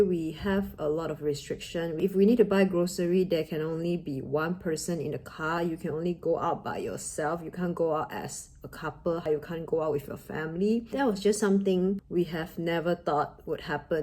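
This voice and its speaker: A woman's voice: fairly low pitch, mellow. A young woman from Malaysia with a Malaysian accent, a Mandarin native speaker, earnestly giving a motivational talk in her vlog. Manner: earnest, encouraging, with grammar slips of a second-language speaker. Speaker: vlogger.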